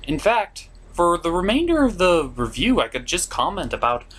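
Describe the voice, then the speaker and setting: A man's voice: high-pitched, sing-song, moderate pace, a bit bright. A man giving a casual scripted review commentary with a theatrical, emphatic delivery. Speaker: cartoon reviewer and show host. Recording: noticeable background noise.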